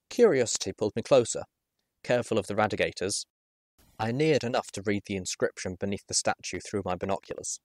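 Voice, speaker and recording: A man reading a first-person adventure story aloud in a measured, expressive storytelling manner. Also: on the low side, no echo, clean studio sound. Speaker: audiobook reader.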